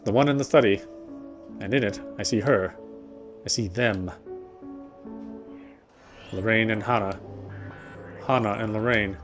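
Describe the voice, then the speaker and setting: A man's voice: lowish voice, unhurried, long pauses. Male game streamer over faint music. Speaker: game streamer reading the story aloud. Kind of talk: reading visual novel narration aloud, casual commentary.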